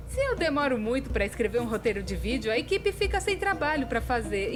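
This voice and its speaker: A woman with a Brazilian accent voicing an excitable, self-mocking young cartoon narrator in an expressive, exaggerated style. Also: high-pitched. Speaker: voice actress in a fan dub. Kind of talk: scripted comedic narration of an animated storytime video. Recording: music behind the voice.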